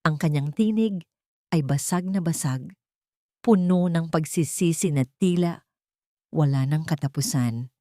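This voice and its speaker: A woman's voice: deep voice, unhurried, long pauses, dark tone, dry recording, studio quality. A woman narrating a scripted dramatic story in a solemn, emotive storytelling manner.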